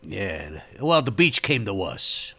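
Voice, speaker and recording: Man playing a deep-voiced, weary tough-guy vampire. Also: big pitch swings, slow pace, gravelly, sharp tone, husky, full character voice. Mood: matter-of-fact. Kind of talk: tabletop roleplay acting.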